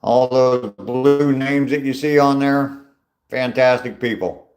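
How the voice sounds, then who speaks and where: A man's voice: medium pitch, takes it slowly, a little mellow, faint music behind. A man in his sixties on a live stream, reading out viewer comments and answering them in a chatty, easygoing way with dry humor, talking into his phone while out on a walk. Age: 60s. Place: outdoors on a walk.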